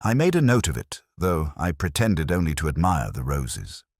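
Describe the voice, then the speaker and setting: A man playing a weary, brooding, sharp-minded police inspector who narrates his own detective story in a measured, wry, literary way. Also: a bass voice, big pitch swings, dry recording, clean studio sound, heavily acted. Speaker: first-person narrator.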